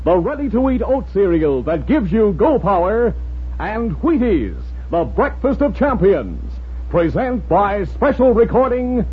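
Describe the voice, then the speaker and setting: A man's voice: high-pitched, very animated, unhurried, husky. A man with an American accent announcing and narrating in a dramatic, declamatory 1950s radio style. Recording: dull vintage radio recording with music.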